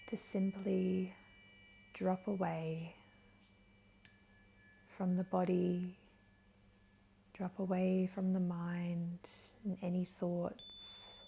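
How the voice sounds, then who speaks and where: A woman leading a guided meditation in a gentle, soothing, soft voice that is breathy at times. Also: on the low side, slight background noise. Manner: gentle, soothing, unhurried with long pauses. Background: soft chimes.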